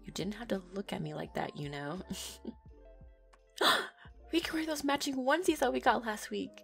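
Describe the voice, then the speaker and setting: A woman's voice: mid-range voice, moderate pace, vocal fry, a bit bright, soft background music. A young woman voicing a playful, affectionate neko girlfriend in an audio roleplay, giggly and teasing as she talks.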